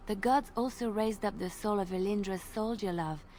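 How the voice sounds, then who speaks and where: A woman's voice: medium pitch, normal speed, a little mellow, slight background noise, lightly acted. Woman voicing a wistful young bard. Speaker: game character telling a tale. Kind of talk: scripted video game dialogue.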